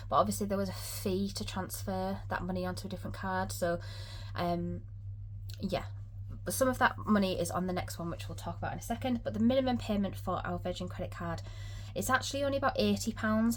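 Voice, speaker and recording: A woman's voice: mid-range voice, slight background noise, low-quality recording. A woman from England, chatting casually through a budgeting vlog with lots of ums, sniffly from a cold. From Northeast England. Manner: chatty, many ums, upbeat. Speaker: budgeting vlogger.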